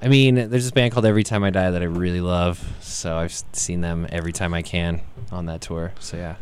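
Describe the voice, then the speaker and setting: A man, a singer being interviewed, chatting casually in an informal, halting way. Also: sits low, steady tone, darkish tone, slight background noise. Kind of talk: casual chat. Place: radio studio.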